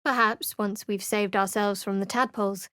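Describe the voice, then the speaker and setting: A woman's voice: medium pitch, steady tone, moderate pace, fairly bright tone, clear, resonant tone, dry recording, lightly acted. A woman voicing a guarded, sardonic young cleric adventurer in a video game, speaking with dry, cutting wit and cool reserve. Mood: wry.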